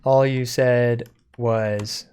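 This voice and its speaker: A man giving a casual software code-along, thinking aloud informally as he types. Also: medium pitch, fairly even intonation, extremely slow, clear, resonant tone. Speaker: software tutorial instructor.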